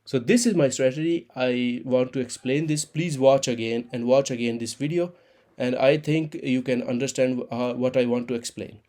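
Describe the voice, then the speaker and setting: A man in his thirties, an Urdu speaker, explaining a trading chart in halting with many restarts. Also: mid-range voice, dark tone. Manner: halting non-native English, frequent restarts and repeated words. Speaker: crypto trading channel host.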